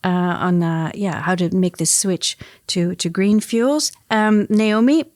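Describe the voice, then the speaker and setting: A woman's voice: mid-range voice, fairly even intonation, a little slow, no echo, clean studio sound. A woman hosting a podcast interview, asking questions with eager reactions. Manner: enthusiastic, warm interviewer with reactions like "wow". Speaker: podcast host.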